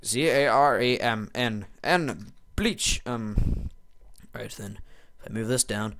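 A man's voice: on the low side, slow, creaky, a bit bright. A teenage boy giving casual, rambling commentary over a screen recording, muttering and mocking himself as he types. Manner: rambling, self-mocking, muttering while typing. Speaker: video maker.

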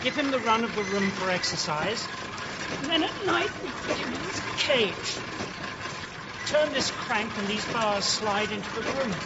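High-voiced, effusive country gentleman. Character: genial, sly country gentleman, proud owner of exotic beasts. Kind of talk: radio drama acting. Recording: dull, noisy old radio-drama recording.

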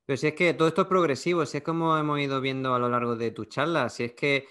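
A man's voice: medium pitch, fairly even intonation, fast talker, a bit bright, slight background noise. A man from Spain with a Spanish accent, hosting a casual online talk and chiming in agreeably. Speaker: event host.

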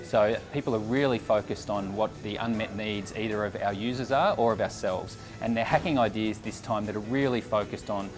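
Man in his thirties, low-ish voice, measured managing-director type, office interview over a music bed. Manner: measured, thoughtful, repeats words when restarting. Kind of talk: corporate video interview. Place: office kitchen area indoors.

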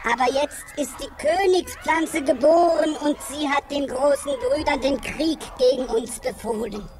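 A woman playing a timid, frightened jungle tribe native in a sci-fi radio play, speaking haltingly and fearfully. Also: high-pitched, darkish tone, soft background music. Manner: slow, halting, fearful, chanting foreign-sounding words. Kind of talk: 1980s German children's sci-fi radio play acting.

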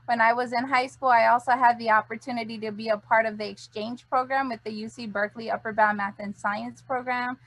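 A middle-aged woman from Hawaii, a program director, giving a measured welcome on a video call. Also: a little high, fairly even intonation, a bit bright, no echo, low-quality recording.

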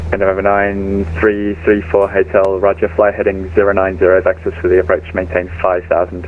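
A man with a Texas accent speaks as an air traffic controller, in a deep monotone. His procedural instructions come through a narrow, band-limited aviation radio. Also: fairly quick.

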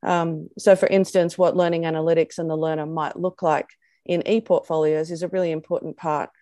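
A woman's voice: low voice, level tone, fairly quick, warm tone, no room sound, studio quality. An Australian woman, an education researcher, giving a thoughtful webinar presentation on a video call, with frequent ums.

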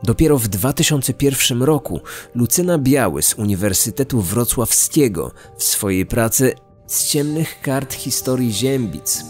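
Low-voiced man narrating true crime over music. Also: darkish tone. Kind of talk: scripted documentary narration.

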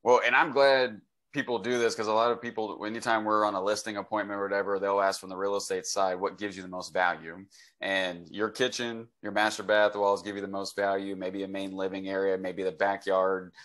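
A man in his forties with a fairly low voice hosts a relaxed interview from a home office video call. He speaks conversationally and with enthusiasm, like an agent advising clients. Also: fairly quick. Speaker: host, real estate agent. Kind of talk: casual interview talk. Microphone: computer mic on a video call.

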